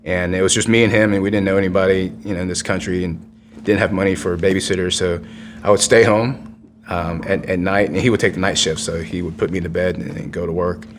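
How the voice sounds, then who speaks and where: Deep-voiced man telling his story. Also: fairly even intonation, quick pace, a little hiss or hum. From Vietnam. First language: Vietnamese. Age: 50s. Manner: earnest storytelling with frequent restarts. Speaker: guest, congressional candidate. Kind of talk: podcast interview.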